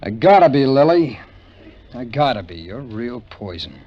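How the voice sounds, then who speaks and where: An actor playing a weary, hard-boiled American adventurer in a 1950s radio drama, telling the story in terse, wry phrases. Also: male voice, mid-range voice, big pitch swings, normal speed. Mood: wary, resisting. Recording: muffled vintage radio recording with music.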